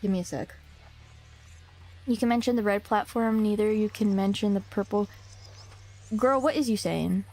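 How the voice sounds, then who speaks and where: A woman's voice: medium pitch, normal speed, long pauses, a little mellow, rough recording. Slangy young woman rambling to chat. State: a little hoarse from a cough. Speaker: streamer. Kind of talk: casual streaming chat, reading a visual novel aloud.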